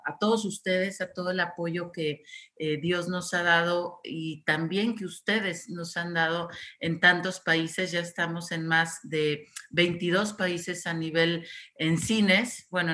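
A woman's voice: lowish voice, pushed and bright. A Mexican woman from Guadalajara gives a virtual conference talk, with many "este" and "eh" fillers. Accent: Mexican Spanish. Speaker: festival director giving a talk.

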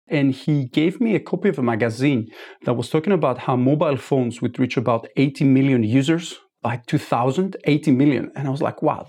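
A man in his forties speaks as a startup founder being interviewed. His answers come measured and reflective, and he often circles back to restart a phrase. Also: medium pitch, a little mellow. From Greece. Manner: measured, thoughtful, with frequent restarts.

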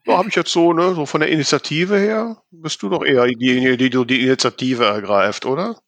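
A man's voice: mid-range voice, a little fast, fairly bright tone, no echo. A man hosting a podcast in a casual, conversational style. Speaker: podcast host.

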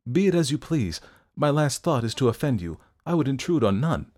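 A man's voice: medium pitch, big pitch swings, brisk, a little mellow, voice pushed up. A man voicing an elderly, pompous London doctor who is nervously genteel, effusive and stammering, in a storybook reading. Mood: placating, uneasy.

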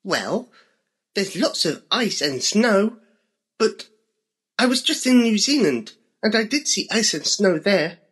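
A man's voice: high-pitched, big pitch swings, slow pace, a bit bright, breathy edge, pitched above the performer's own voice, full character voice. A man voicing an eager, lost young male bunny in a children's story.

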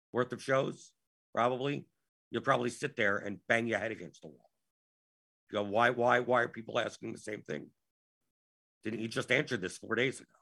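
A man's voice: mid-range voice, creaky, pushed and bright, husky. A man hosting a live Q&A stream, his voice worn out, talking in an exasperated way and repeating himself. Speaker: show host.

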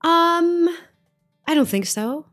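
A woman with a low speaking voice, an actress telling stories in an interview, chatty and animated. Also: takes it slowly, faint music behind. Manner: chatty, animated, frequent "you know what I mean". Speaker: Broadway actress, guest. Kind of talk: conversational storytelling interview.

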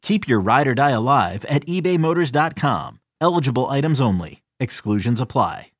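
Man, scripted ad read, lively swooping intonation, punchy and playful, dry close sound. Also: mid-range voice, moderate pace, no echo. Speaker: commercial announcer.

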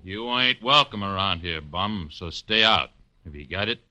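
Low, hard-edged hulking thug. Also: male voice, expressive, normal speed, bright, forceful tone, full character voice. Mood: menacing. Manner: curt, threatening tough-guy slang. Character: hulking, menacing bodyguard thug, a man mountain. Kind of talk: 1940s radio acting. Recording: dull old radio recording, music underneath.

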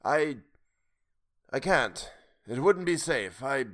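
Man performing a frightened old man, storybook castaway, raised pitch, halting, pleading, formal old-fashioned phrasing. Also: animated, close to an everyday voice. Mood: fearful, halting. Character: frightened, hungry, pathetic old man adrift in a boat.

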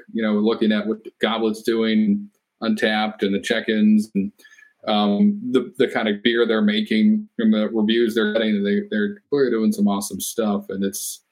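A man with a fairly low voice chats on a podcast. He sounds enthusiastic and keeps his reactions short. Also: little pitch movement, moderate pace, rough recording. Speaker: podcast co-host. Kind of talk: casual beer podcast chat.

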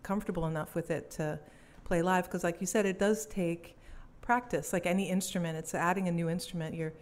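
A woman's voice: on the low side, fairly even intonation, brisk. A young woman from Belgium, a cellist, chatting casually in an interview and laughing easily. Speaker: cellist and musician guest.

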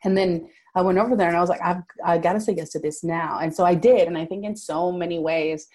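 A woman speaks as an interview guest. She is emphatic and lively, often restarting her sentences. Her voice sits a little low. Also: fast talker. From Venezuela. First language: Spanish. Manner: animated, emphatic, frequent "like" fillers and restarts. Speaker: guest, manifestation coach. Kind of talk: casual interview talk.

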